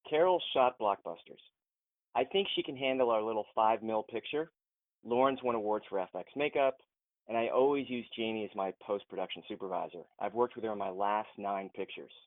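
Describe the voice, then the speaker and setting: An actor playing a frustrated, principled film producer in an audio drama, earnest and insistent, heard over a thin phone line. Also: male voice, fairly low pitch, fairly quick, no echo, natural voice. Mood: frustrated, pressing. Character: frustrated, principled male film producer challenging his boss. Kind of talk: modern audio drama acting.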